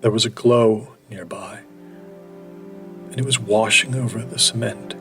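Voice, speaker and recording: An actor playing a dazed, haunted male witness in a horror audio drama, subdued and deliberate. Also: lowish voice, steady tone, over music. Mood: dazed, eerie.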